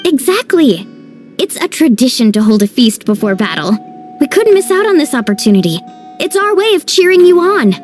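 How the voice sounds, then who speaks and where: A woman's voice: high voice, big pitch swings, normal speed. A woman playing an upbeat, easygoing young adventurer in an anime fantasy game, breezy and casual. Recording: faint background game music.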